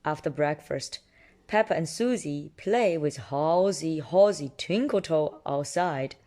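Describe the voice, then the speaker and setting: A woman reading a children's story aloud with an expressive delivery, as if to a small child. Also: sits low, slow pace. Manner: expressive, exaggerated delivery for young listeners. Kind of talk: animated read-aloud narration with character voices, chatting to a child.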